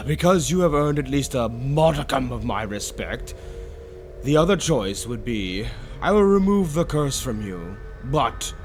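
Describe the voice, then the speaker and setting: A man voicing a flamboyant, sinister demon tempter in a roleplay monologue, taunting the listener with theatrical, drawn-out emphasis. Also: medium pitch, close to an everyday voice. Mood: firm bargaining. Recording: background music under the voice. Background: orchestral waltz-style music.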